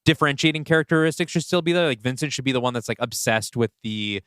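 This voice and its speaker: A man with a clear, smooth voice at a middle pitch talks casually as a podcast co-host. He thinks aloud in a conversational way, and the recording is close and dry. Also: fast talker, fairly bright tone, dry recording. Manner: thinks aloud, often says "like". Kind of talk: casual brainstorming chat.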